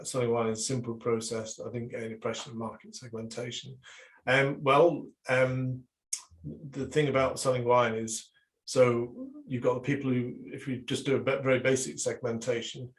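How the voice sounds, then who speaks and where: A man's voice: medium pitch, low-quality recording. A man, a business coach, leads a live online workshop, talking with many fillers and restarts while losing his voice over a video call. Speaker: business and marketing coach leading a webinar.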